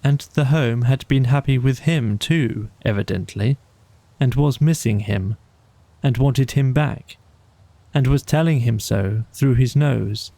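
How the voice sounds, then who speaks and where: Casting: male bedtime audiobook narrator, very unhurried and soothing, dark mellow tone, close dry sound. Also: medium pitch, vocal fry, no echo. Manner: measured, soothing, deliberate phrasing. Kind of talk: slow bedtime storybook narration.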